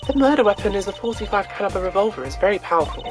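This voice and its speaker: A woman's voice: medium pitch, lively intonation, racing, music in the background, voice pushed up, lightly acted. A woman voicing a stern, grudging female detective from a video game. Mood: matter-of-fact. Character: stern, snack-munching female detective guarding a scene.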